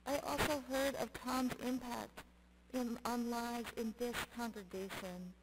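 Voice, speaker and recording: A middle-aged woman pastor delivering a sermon in measured, emphatic short phrases. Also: fairly high pitch, fairly even intonation. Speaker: Lutheran pastor. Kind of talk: sermon with scripture reading. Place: church sanctuary, at the pulpit. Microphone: gooseneck pulpit microphone. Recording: clearly noisy church sound recording.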